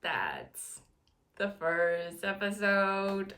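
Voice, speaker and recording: A young woman from Taiwan who grew up speaking Chinese, chatting to her viewers in a casual vlog. She talks at a middle pitch in an earnest, encouraging way. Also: big pitch swings, drawn-out words, sharp tone, a little hiss or hum. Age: 20s. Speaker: vlogger. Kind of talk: casual talk to camera.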